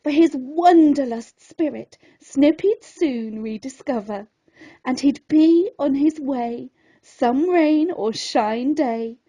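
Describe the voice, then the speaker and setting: Woman storyteller, high pitch, slow pace, expressive rhyming narration, theatrical, deliberate. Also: muffled recording.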